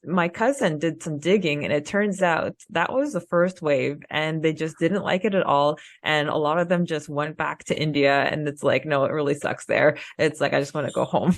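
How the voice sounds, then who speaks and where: A woman's voice: low-pitched, normal speed, hardly any pauses, no room sound. A woman co-hosting a podcast in a casual, chatty style. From Canada. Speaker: podcast co-host.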